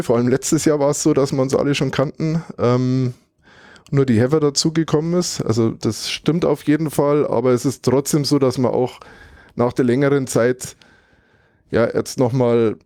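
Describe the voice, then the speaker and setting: Mellow-voiced German man, dry and close. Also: medium pitch, moderate pace, no room sound. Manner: thoughtful, rambling, searches for words mid-sentence. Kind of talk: casual conversational recap.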